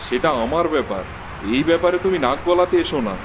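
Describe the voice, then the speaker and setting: A man's voice: a little high, lively intonation, fast talker, background noise, natural voice. A man playing a haughty, stingy, domineering village landlord in a cartoon, speaking in a scornful, bossy way. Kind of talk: cartoon dialogue acting.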